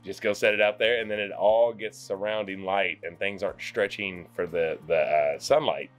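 A man's voice: fairly low pitch, steady tone, moderate pace, soft background music. A young man with a Kentucky accent, giving an easygoing, plain-spoken gardening tip at home to a handheld camera. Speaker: homestead vlogger. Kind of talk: casual tip-giving chat. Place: home, indoors. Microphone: selfie camera at arm's length.

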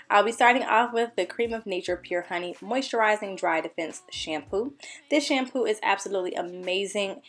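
A woman's voice: mid-range voice, fairly bright tone. A young woman beauty vlogger narrating a scripted tutorial voice-over in an upbeat, enthusiastic manner. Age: young adult.

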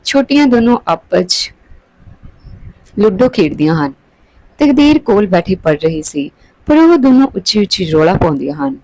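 A woman's voice: medium pitch, very animated, moderate pace, pauses often, mellow, no room sound, poor audio. A woman narrating a scripted story.

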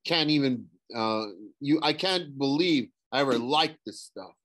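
Troubled young boy played by a man, raised urgent voice, bitter edge, slow measured delivery. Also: medium pitch, fairly bright tone, voice pushed up, natural voice. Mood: bitter, desperate. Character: grim, troubled boy about eleven. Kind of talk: audiobook character voice.

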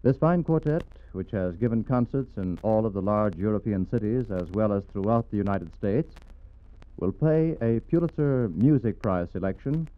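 Low-voiced man, 1940s radio announcer. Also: expressive. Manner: measured, formal delivery. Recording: dull 1940s radio recording.

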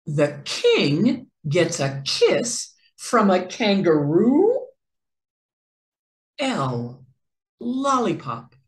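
A middle-aged woman reading a children's picture book aloud in an expressive, deliberate storytelling manner with careful enunciation. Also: medium pitch, reverberant. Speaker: read-aloud host for children.

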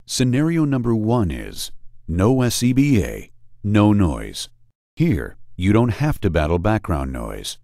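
A man reading scripted instructional narration for a training video, deliberate and emphatic. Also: fairly low pitch, warm tone, dry recording, studio quality. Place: studio voice-over.

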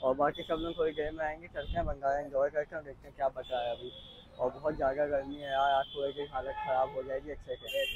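A young man chatting to viewers in a casual vlog, his voice hoarse from fever and the heat. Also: a little high, level tone, quick pace, highs cut off. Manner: chatty, addresses viewers as bhaiya. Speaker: vlogger. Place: outdoors at a busy street food hall. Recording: outdoor phone recording with crowd and music. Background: crowd noise and music.

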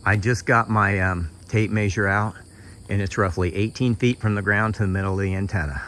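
A man's voice: lowish voice, fairly even intonation, a little fast, fairly bright tone. A middle-aged American man giving a casual, unscripted show-and-tell outdoors in a plain-spoken, folksy way. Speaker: hobbyist presenter. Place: outdoors in a yard and on a roof. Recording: outdoor recording with insect noise.

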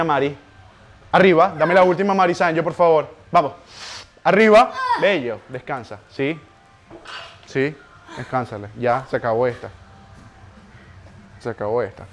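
A man's voice: a little high, a little hiss or hum. A young man, a personal trainer coaching a client through a workout live, counting reps and urging her on. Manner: urgent encouraging counting of reps, pushes harder near the end. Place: home garage gym, indoors.

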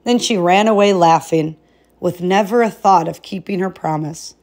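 A woman reading a fairy tale aloud as a storybook narrator, in an expressive style. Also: fairly low pitch, normal speed.

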